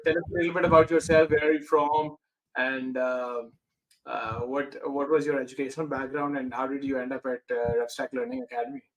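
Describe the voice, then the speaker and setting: A man's voice: mid-range voice, level tone, brisk, muffled recording. A man in his thirties hosting a podcast interview, talking in a hesitant way, with many "uh"s and restarts. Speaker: podcast host.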